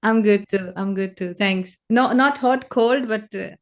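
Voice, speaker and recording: A woman's voice: medium pitch, measured pace. A woman hosting a podcast, giving brief, friendly prompts and replies over a video call. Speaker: podcast host. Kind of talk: casual podcast conversation.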